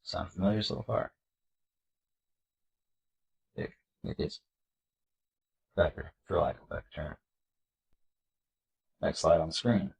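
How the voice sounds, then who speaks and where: A man with a congested, nasal voice from a cold, hosting a video and reading slides aloud in an earnest, measured way. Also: rumbling low, vocal fry, darkish tone. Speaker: political video host.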